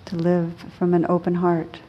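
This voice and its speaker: A woman teaching meditation, guiding listeners gently and unhurriedly, with pauses between short phrases. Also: on the low side, completely flat delivery, highs cut off. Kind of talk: guided meditation.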